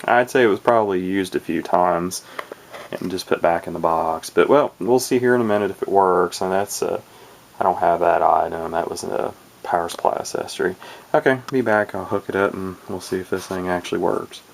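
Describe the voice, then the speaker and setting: A man's voice: low-pitched, brisk. A man giving a casual, unscripted demonstration as a hobbyist, rambling and hesitant, often correcting himself. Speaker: hobbyist presenter showing a vintage radio. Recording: clearly noisy home video recording.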